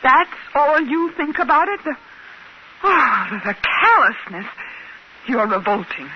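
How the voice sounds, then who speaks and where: Through a dull, faintly hissy old radio recording comes a brisk, haughty American woman inspector. Her pitch swings widely as she issues curt commands in 1950s radio drama style. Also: expressive. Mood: indignant. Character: imperious, brisk young female company inspector.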